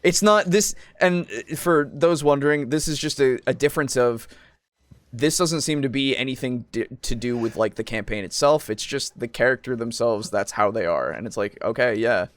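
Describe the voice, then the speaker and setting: A man hosting a casual podcast chat about tabletop roleplaying, explaining things in a rambling, halting way with some swearing. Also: medium pitch, dry recording. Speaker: podcast host.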